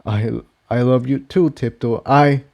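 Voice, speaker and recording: A man's voice: mid-range voice, fairly even intonation, a little slow, darkish tone, close to an everyday voice. A man voicing a bitter, frightened young male pony exile who speaks in an agitated, accusing way, in a story reading. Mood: shaken, scared.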